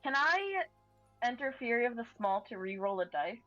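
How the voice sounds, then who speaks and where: A woman's voice: a little high, big pitch swings, moderate pace, fairly bright tone, dry recording. A woman playing a tabletop roleplaying game, chatting casually in a hesitant, questioning way. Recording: dull, dry audio with faint music. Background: faint music.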